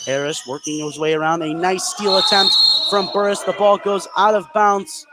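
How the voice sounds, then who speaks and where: A man's voice: high-pitched, steady tone, normal speed. An American man calling live basketball play-by-play for radio from a gym. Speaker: play-by-play commentator. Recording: noisy live gym broadcast.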